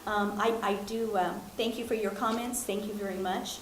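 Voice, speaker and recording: A woman's voice: medium pitch, steady tone, reverberant, slight background noise. A woman chairing a board meeting, speaking with frequent ums and uhs. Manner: halting, many ums and uhs. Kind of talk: formal meeting remarks.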